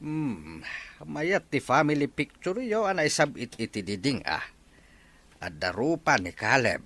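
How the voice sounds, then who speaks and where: Old Ilocano grandfather played by a man, family drama; jolly, doting, slow delivery with huge swings in pitch. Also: big pitch swings, a bit bright, full character voice. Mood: friendly. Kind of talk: dramatized family audio story.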